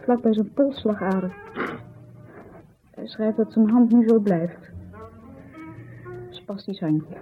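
An actress playing a distraught, bitter wife who recounts her story in an agitated, halting way, in naturalistic radio drama style. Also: medium pitch, lightly acted. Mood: upset, indignant. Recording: thin phone-like 1970s radio, music behind.

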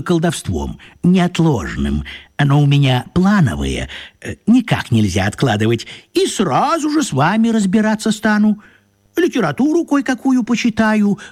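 Fussy scatterbrained wizard, slow husky voice, keeps dithering, kids radio play. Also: male voice, high voice, a little mellow, heavily acted. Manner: hesitant, rambling, fussily polite. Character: fussy, apologetic, absent-minded male wizard. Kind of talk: children's radio play acting.

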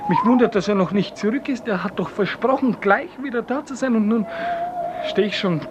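An actor playing a timid, apologetic, curious gentleman in a 1950s German radio play, hesitant and stammering. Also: high-pitched, brisk, close to an everyday voice. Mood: flustered, apologetic. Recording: muffled, very noisy old radio recording.

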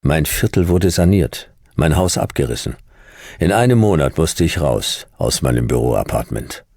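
A man playing a world-weary, sardonic fifty-year-old private detective, narrating a noir radio drama in a gravelly, laconic voice. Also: a bass voice, lively intonation, moderate pace, a little mellow, no echo, full character voice. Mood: grumbling, resigned. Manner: laconic, dry, clipped.

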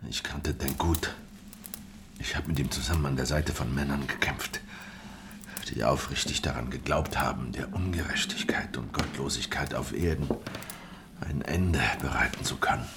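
An actor playing a guarded, world-weary fugitive rebel veteran in a German historical radio drama, grave and terse in manner. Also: male voice, a bass voice, moderate pace, heavy background noise. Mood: grave, bitter.

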